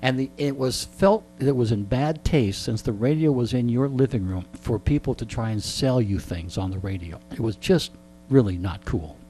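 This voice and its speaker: A man in his sixties with a mellow, relaxed tone, speaking over an audible hum. He tells stories conversationally, as in a radio interview, with chatty asides to the listeners. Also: mid-range voice, normal speed. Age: 60s. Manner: frequent throat clearing. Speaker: radio show host being interviewed. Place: radio studio. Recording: clear background hum and noise.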